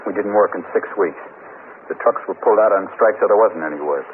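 An actor playing a sullen, defiant out-of-work robbery suspect, surly and defensive, in a 1950s radio drama. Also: male voice, on the low side, fast, close to an everyday voice. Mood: resigned. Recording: scratchy, narrow-band 1950s radio recording.

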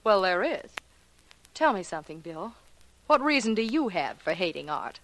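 An actress playing a sharp-tongued young film actress, talking sarcastic slang in 1940s radio drama style. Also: female voice, mid-range voice, very animated, moderate pace, low-quality recording. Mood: chummy, curious.

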